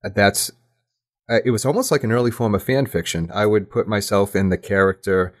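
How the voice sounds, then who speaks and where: A man with a deep voice talks as a guest in an interview. His style is casual and reflective. He comes across as modest and candid, feeling his way haltingly through his sentences. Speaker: podcast guest, audio drama writer.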